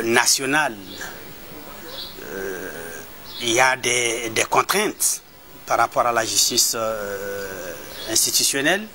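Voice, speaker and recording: Bright-voiced man explaining with hesitations. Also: medium pitch, a little hiss or hum. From Mali. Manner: measured, with hesitations and repeated words. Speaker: human rights advocate. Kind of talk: explanatory interview answer.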